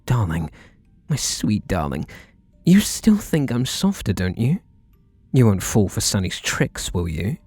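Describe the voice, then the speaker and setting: A man's voice: fairly low pitch, sing-song, unhurried, darkish tone, slightly rough, dry recording, clean studio sound, faint music behind, heavily acted. A man voicing a teasing, obsessively devoted butler in an intimate ASMR roleplay, full of sugary endearments. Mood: teasing, possessive.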